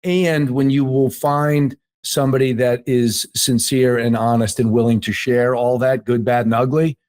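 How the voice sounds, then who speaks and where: A man's voice: mid-range voice, little pitch movement, normal speed, a little mellow. A man speaking conversationally as the host of an interview podcast.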